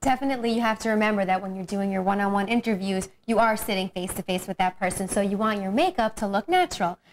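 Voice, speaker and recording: A woman who has competed in pageants, sharing tips in a brisk, friendly way. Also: medium pitch, lively intonation, slight background noise. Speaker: former pageant contestant. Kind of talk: instructional video presentation.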